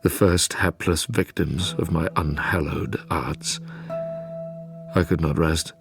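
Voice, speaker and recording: An actor playing a tormented, guilt-ridden young British gentleman scientist in an audio drama, speaking gravely and with literary care. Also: a bass voice, fairly even intonation, slow pace, music in the background, full character voice. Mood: grief-stricken. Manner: grave, brooding, literary delivery. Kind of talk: audio drama narration and dialogue.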